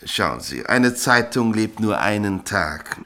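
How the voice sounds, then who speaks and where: Brusque, forceful newspaper editor. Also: male voice, lowish voice, big pitch swings, slow pace, full character voice. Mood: dismissive, placating. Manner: impatient, dismissive, blunt. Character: brusque, harried male newspaper editor in chief. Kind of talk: German radio play acting.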